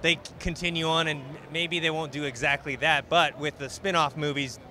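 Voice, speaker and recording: A man with a bright, slightly high voice, co-hosting a casual movie chat. He talks hesitantly, stopping and restarting his sentences as he searches for words. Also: fairly even intonation, a little fast. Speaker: co-host reading viewer questions. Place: outdoors at a busy convention.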